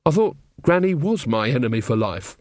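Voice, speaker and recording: A man's voice: medium pitch, very animated, normal speed, a little mellow, no echo, studio quality, lightly acted. A man voicing a flustered young queen who puts on pompous regal airs in a storybook reading. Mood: worried. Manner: pompous, speaks of herself as "one".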